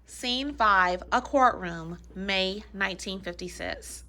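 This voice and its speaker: A woman's voice: mid-range voice, slow, pushed and bright. A woman announcing scene headings from a readers theater script.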